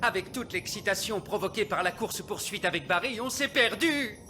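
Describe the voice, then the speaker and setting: Cartoon elf skipper: high voice, brisk and commanding, over loud background music. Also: male voice, animated. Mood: grave explaining. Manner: commanding, declamatory. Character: brisk, bossy male elf submarine captain. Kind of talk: animated cartoon dubbing.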